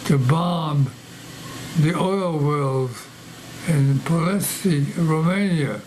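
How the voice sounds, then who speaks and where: A man's voice: a little high, extremely slow, darkish tone, a little hiss or hum, rough recording. A man in his nineties, born in Poland, answering questions in an oral history interview, often repeating words and restarting. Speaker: veteran interviewee. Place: library interview room.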